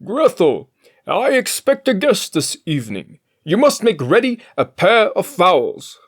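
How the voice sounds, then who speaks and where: A storyteller voicing the commanding male master of a household in a storybook, giving brisk orders. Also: medium pitch, big pitch swings, breathy edge, pitched above the performer's own voice. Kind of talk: storybook character voice.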